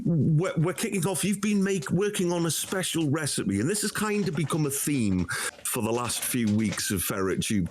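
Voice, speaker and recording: A man co-hosting in a casual, enthusiastic way, croaky from a cold. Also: medium pitch, slight background noise. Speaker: co-host. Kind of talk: casual chat.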